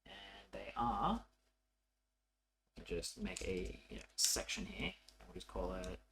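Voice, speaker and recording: A man presenting a programming tutorial in a casual, unscripted way, haltingly thinking aloud as he works. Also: deep voice, sing-song, vocal fry, fairly bright tone, slight background noise. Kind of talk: casual coding walkthrough, unscripted.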